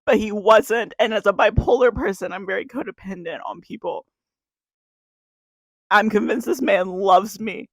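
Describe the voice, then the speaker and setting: 21 yr old woman crying thru a spoken word poem, gravelly voice, super dry close sound, earnest confessional vibe. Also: medium pitch, moderate pace, dry recording. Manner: earnest, confessional, repeating a refrain. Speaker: YouTuber.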